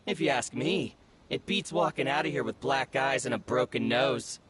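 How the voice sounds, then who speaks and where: A man's voice: medium pitch, moderate pace, heavy background noise, close to an everyday voice. A man voicing a smug, thuggish bandit bully in a video game, taunting and menacing. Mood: smug menace. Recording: game music under the voice.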